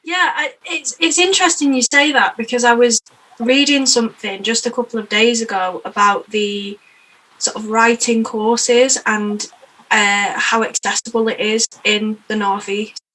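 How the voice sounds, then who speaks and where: A woman's voice: on the high side, steady tone, unhurried, fairly bright tone, a little hiss or hum, poor audio. A woman from the UK hosting a podcast, chatty and eager, often affirming her guest. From United Kingdom. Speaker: podcast host. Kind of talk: casual interview chat.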